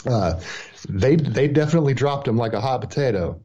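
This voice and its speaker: A middle-aged man co-hosting a podcast, giving casual, measured commentary on sports and culture over low-fidelity audio. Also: lively intonation, dark tone, highs cut off. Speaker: podcast co-host.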